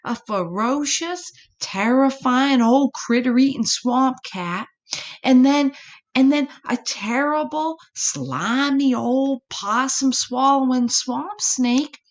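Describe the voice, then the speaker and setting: A woman's voice: sits high, takes it slowly, muffled recording, pitched above the performer's own voice. A woman voicing a plucky, boastful little boy possum for a children's storybook, in eager, breathless exclamations. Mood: boastful, excited.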